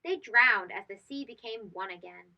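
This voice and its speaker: A woman's voice: medium pitch, moderate pace, gravelly, highs cut off. A woman reading a children's storybook aloud, expressively and speaking directly to young listeners. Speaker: host of children's read-aloud show.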